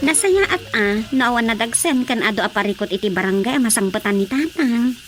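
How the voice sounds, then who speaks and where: A woman's voice: a little high, expressive, fairly quick, soft background music. A woman acting in a radio drama, speaking in a conversational, reassuring way.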